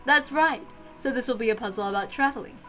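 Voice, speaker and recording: A woman's voice: fairly high pitch, fast talker, a bit bright, soft background music, close to an everyday voice. A woman voicing a cheerful, encouraging aunt in a visual novel read-aloud, playful and reassuring.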